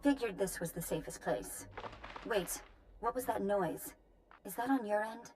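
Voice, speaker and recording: An actress playing a feisty, sharp-tongued scientist in a video game, blunt, articulate and sardonic. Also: female voice, mid-range voice, a little slow, big-room sound, slight background noise, lightly acted, low-quality recording. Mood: irritated.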